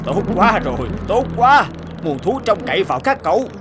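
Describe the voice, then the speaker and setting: A man's voice: high-pitched, big pitch swings, moderate pace. Commanding tiger king, swooping delivery. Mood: relieved. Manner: commanding, solemn, dramatic. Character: stern, indignant tiger king of the jungle. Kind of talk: cartoon dub acting. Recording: loud music behind the voice.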